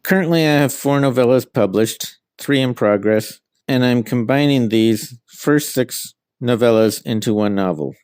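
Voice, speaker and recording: A man's voice: medium pitch, a little slow, hardly any pauses, darkish tone, smooth, no room sound, studio quality. A middle-aged man being interviewed as an author, giving answers about their own work.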